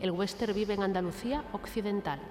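A woman's voice: mid-range voice, brisk, long reverb tail. A woman presenter giving scripted, emphatic narration on a radio show.

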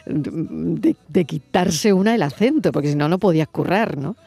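A woman interviewer with a softened Andalusian accent who asks questions in a chatty way and murmurs along often. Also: on the low side, animated, normal speed, vocal fry, slightly rough, no room sound, faint music behind. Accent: softened Andalusian Spanish. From Andalusia, Spain. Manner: frequent backchannel murmurs, chatty.

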